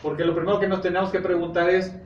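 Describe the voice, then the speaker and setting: A man with a Mexican accent preaching informally and explaining scripture in a conversational, halting way with rhetorical check questions. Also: sits high, level tone. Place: echoey indoor congregation room. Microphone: distant microphone. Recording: echoey, noisy, muffled room recording from far off.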